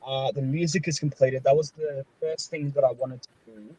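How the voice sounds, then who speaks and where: A man's voice: medium pitch, steady tone, moderate pace, dark tone, low-quality recording. A man hosting a casual online group chat about a project he directs. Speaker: project director and panel host.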